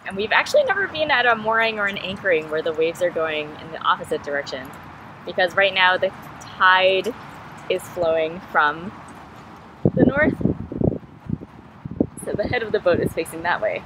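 A woman's voice: on the low side, animated, normal speed, pauses often, noisy background. A woman vlogging from a sailboat, explaining things step by step as she thinks aloud. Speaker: sailing vlogger. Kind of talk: casual on-board explanation and narration. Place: on a sailboat deck on a windy river.

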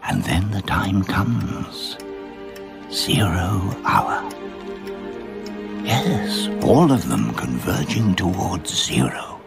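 A brooding older man in a radio mystery, his voice low and gravelly. He speaks slowly and ominously, with pitch that moves widely. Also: big pitch swings, music in the background, full character voice. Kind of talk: radio mystery drama monologue.

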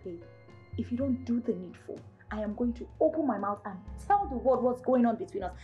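A woman's voice: a little high, expressive, brisk, dull audio, faint music behind, natural voice. A woman acting a jealous mistress who demands and threatens her lover. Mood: cold, threatening. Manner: needy, then cold and threatening. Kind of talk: amateur drama acting.

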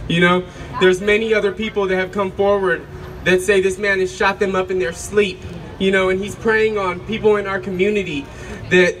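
Give me a young man speaking haltingly at an outdoor rally, bright and tense. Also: high voice, level tone. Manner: nervous, halting, with fillers. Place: outdoor street rally stage. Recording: distant mic, street and crowd noise.

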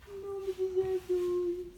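A woman's voice: very high voice, monotone, slow, mellow, a little hiss or hum. A young woman acting as a weary wife who prays for her husband, exasperated and tearful in a church skit. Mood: tearful prayer. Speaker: amateur actor in a church skit. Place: wood-panelled hall, indoors. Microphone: far camera. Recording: echoey hall.

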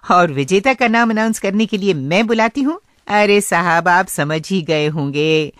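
A woman's voice: on the low side, unhurried, no echo, studio quality. A woman hosting a radio show in a scripted but conversational style.

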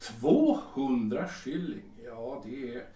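A man's voice: medium pitch, animated, mellow, natural voice. A man acting a smug, lecherous café owner in a Swedish audio drama, oily and hesitant, often repeating himself. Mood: insinuating. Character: smug, lecherous male Viennese café owner, haggling and menacing. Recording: roomy, distant from the mic.

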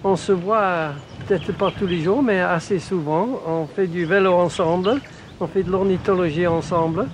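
A man's voice: sits high, steady tone, normal speed, background noise. An older man from England, a native English speaker with an English accent, chatting reflectively and hesitantly as a documentary interviewee. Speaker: retired ornithologist.

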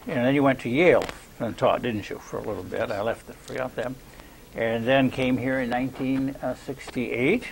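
Older man, interview host, fry in the voice, halting and polite, faint tape noise. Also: mid-range voice. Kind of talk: local TV interview, unhurried introduction and questions. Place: small cable TV studio. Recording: noisy 2001 VHS studio recording.

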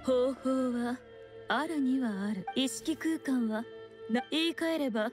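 A poised fox shrine maiden from an anime game, high in pitch and sly. She speaks with unhurried, teasing grandeur in archaic phrasing, with music playing underneath. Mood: calm, composed. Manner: unhurried, teasing, lofty old-fashioned speech.

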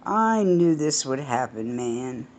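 A woman voicing a grumpy, sleepy college-age young man who grumbles gruffly. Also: extremely low pitch, sing-song, slow pace, a little mellow, a little hiss or hum, full character voice. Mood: grumbling.

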